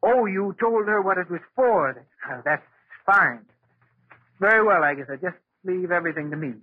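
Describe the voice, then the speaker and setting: An actor playing a resentful, scheming husband in an old-time radio drama, speaking in a dramatic, deliberate, husky manner. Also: male voice, high voice, animated. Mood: falsely agreeable. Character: resentful, scheming henpecked husband confessing a murder. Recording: muffled, tinny vintage radio recording.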